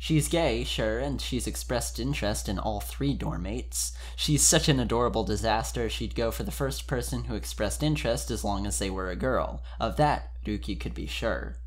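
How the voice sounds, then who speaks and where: A man's voice: fairly low pitch, normal speed, hardly any pauses. A man speaking informally and expressively, as a podcast member giving an amused dramatic reading of fan fiction.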